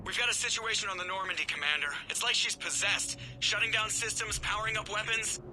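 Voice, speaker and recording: A man's voice: high-pitched, fast talker. A man playing an alarmed spaceship pilot calling in over the radio in a video game. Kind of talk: video game cutscene acting. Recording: noisy radio transmission.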